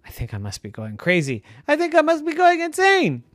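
A parent doing a high, startled little girl. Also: huge pitch swings, a little fast, no echo, studio quality. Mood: bewildered. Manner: squeaky, startled exclamations. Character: bewildered young girl who loves basketball.

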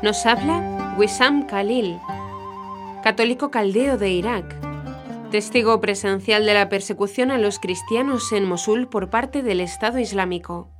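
A woman's voice: mid-range voice, unhurried. A woman reading a scripted documentary introduction as a program announcer. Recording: music behind the voice. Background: music.

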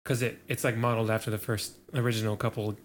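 A young man co-hosting a casual podcast chat, relaxed and laid-back, with lots of fillers and chuckles. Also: on the low side, fast talker, slight background noise. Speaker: podcast co-host.

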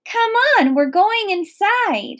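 Woman voicing an anxious young girl, high and urgent. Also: flat delivery, drawn-out words, a little mellow, full character voice. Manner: urgent, hollering, pleading. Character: anxious young girl, guilt-stricken and headstrong, storybook narrator.

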